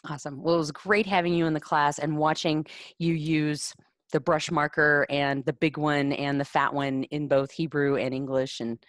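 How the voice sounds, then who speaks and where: A woman with a low-pitched voice hosts a casual conversation with her contributors. She sounds encouraging and affirms often. The sound is close and dry. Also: steady tone, moderate pace, no room sound. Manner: encouraging, warm praise with many affirming "mm-hmm"s. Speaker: author and lettering teacher hosting interviews. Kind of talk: casual chat.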